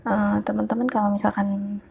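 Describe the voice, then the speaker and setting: A woman's voice: medium pitch, flat delivery, brisk, gravelly, a little mellow, a little hiss or hum. A woman with a thick Javanese Ngapak accent and a congested, nasal voice, giving casual unscripted tutorial commentary. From Java, Indonesia. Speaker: digital illustration tutor. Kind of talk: casual unscripted tutorial commentary over a speed-art video.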